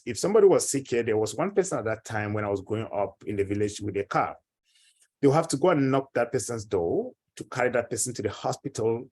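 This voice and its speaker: A man from Cameroon giving a conference presentation, earnest and growing animated as he tells of his home village. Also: on the low side, breathy edge. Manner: earnest, grows animated telling personal stories. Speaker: consortium director and professor.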